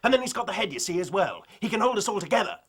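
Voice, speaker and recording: High-pitched, flustered young English sportsman. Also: very animated, racing, fairly bright tone, slightly rough, full character voice. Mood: agitated, distraught. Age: young adult. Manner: agitated, breathless torrent of talk. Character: big, flustered, excitable young male rugby captain. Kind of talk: radio drama acting.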